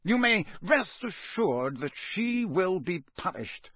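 A man reading aloud and voicing a cold, stern middle-aged official who speaks in a clipped, deliberate way. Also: sits high, sing-song, bright, forceful tone, slightly rough, no echo, pitched above the performer's own voice, heavily acted. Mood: curt.

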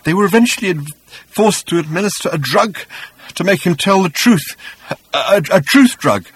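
Frail raving madman reporter, way high voice, husky, haunted, keeps repeating himself. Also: lively intonation, fairly bright tone, no echo, full character voice. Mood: anguished whimpering. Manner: raving, agitated, halting, stammering repetitions. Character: frail half-mad male journalist, hysterical, haunted and whimpering. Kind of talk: dramatised audiobook character reading.

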